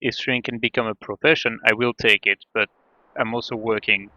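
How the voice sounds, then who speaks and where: A man chatting casually on a game livestream, halting often as he thinks aloud. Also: lowish voice, fairly even intonation, sharp tone. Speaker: game streamer. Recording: dull band-limited mic, faint music.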